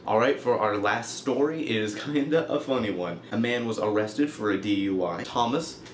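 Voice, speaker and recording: A man's voice: fairly low pitch, normal speed. A young man giving casual, humorous news commentary in an informal, wry way, with mock-emphatic asides. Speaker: host of a comedic news web show. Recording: roomy echo, faint background noise.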